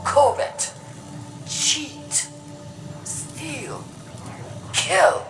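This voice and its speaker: A woman's voice: high voice, lively intonation, exaggerated voice. A woman playing a zealous faction leader, giving a grandiose, solemn and dramatic political address in a game. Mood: bitter scorn. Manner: grandiose, solemn, measured oratory. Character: zealous female robotic faction leader preaching fearful propaganda. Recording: roomy echo with loud music.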